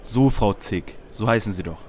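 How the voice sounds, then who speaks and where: An actor playing an officious, dry security officer in a parody radio play. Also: male voice, on the low side, normal speed, darkish tone, a little hiss or hum, natural voice. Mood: dry, firm.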